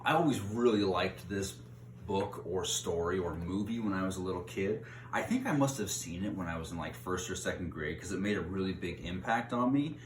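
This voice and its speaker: Echoey far from mic guy reading a kids novel out loud, kinda low voice, informal, fixes his own slipups. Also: brisk. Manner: informal, corrects himself and rereads stumbled phrases. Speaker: read-aloud host. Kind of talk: reading a children's novel aloud with casual asides. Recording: roomy echo, distant mic, faint noise.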